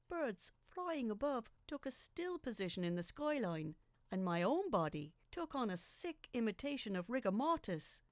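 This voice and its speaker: A woman reading scripted first-person fiction as a dramatic narrator, with a deliberate, suspenseful, theatrical delivery. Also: mid-range voice, no echo.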